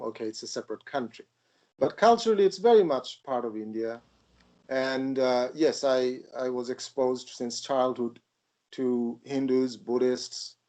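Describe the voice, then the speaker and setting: A man in his sixties from Bangladesh, speaking as an interview guest in a reflective, measured way with frequent pauses. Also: medium pitch, darkish tone. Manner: measured, thoughtful, pausing often. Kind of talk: reflective spiritual conversation.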